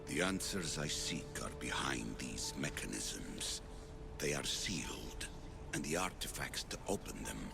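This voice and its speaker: An actor playing an ancient, stern and secretive father figure in a video game, solemn and measured, with a gravelly, breathy voice. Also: very deep voice, sing-song, full character voice. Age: older. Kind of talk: video game cutscene acting. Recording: music behind the voice.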